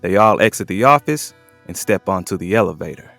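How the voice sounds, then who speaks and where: Guy narrating a scripted story, lowish voice, bit of music under it. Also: expressive, brisk, gravelly, fairly bright tone, no room sound. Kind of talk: scripted present-tense narration.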